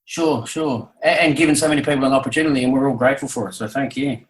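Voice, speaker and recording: A man conducting an informal interview, affirming and a little halting in his phrasing, recorded with echoey room sound. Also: mid-range voice, fairly even intonation. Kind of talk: conversational interviewing.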